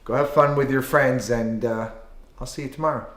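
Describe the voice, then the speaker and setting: A man's voice: mid-range voice, steady tone, normal speed, fairly bright tone, close to an everyday voice. An actor playing a stern religious father in a short film drama. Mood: reassuring. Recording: echoey room, film audio.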